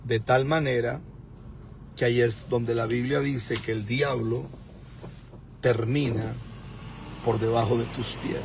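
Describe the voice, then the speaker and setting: Gravelly-voiced man in his fifties preaching. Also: mid-range voice, steady tone, slow, long pauses, background noise. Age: 50s. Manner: emphatic, repeats words for stress. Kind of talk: live-streamed preaching. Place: in a car at a pay point.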